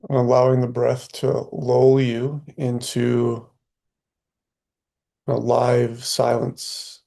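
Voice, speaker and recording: A man leading a guided meditation, speaking softly and slowly with long pauses between phrases. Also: mid-range voice, completely flat delivery, vocal fry. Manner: slow, soft, long pauses.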